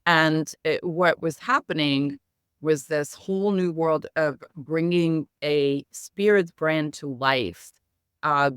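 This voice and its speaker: Smooth, articulate American-accented woman, native Hungarian. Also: deep voice, fairly bright tone, no room sound, studio quality. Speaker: interview guest, marketing executive. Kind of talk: conversational interview storytelling.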